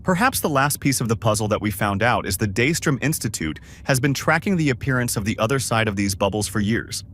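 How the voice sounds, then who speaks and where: A wry male crewman in a fan-made space drama, voiced by a man, reports conversationally. His mid-range voice has animated rises and falls, with slight noise in the background. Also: on the low side, a little fast, few pauses. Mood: informative. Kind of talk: amateur sci-fi audio drama acting.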